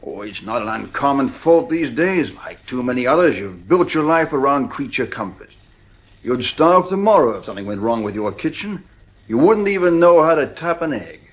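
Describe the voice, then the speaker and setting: An actor playing a blunt, uneasy psychiatrist and family friend in a 1950s radio drama, measured and authoritative. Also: male voice, medium pitch, big pitch swings, natural voice. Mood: blunt, admonishing.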